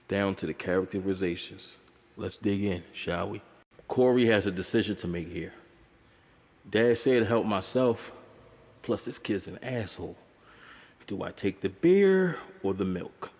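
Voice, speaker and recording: A man's voice: low voice, a little mellow, long reverb tail. A man narrating a film breakdown video essay in a wry, conversational way with blunt, profane asides.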